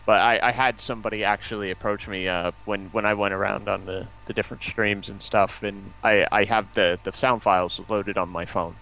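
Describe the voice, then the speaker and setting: An American man with a lowish pitch talks in a casual, conversational way. He sounds like a guest explaining things on a live stream. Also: fairly even intonation, a little fast, few pauses, bright, forceful tone, rough recording. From United States. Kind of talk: casual chat.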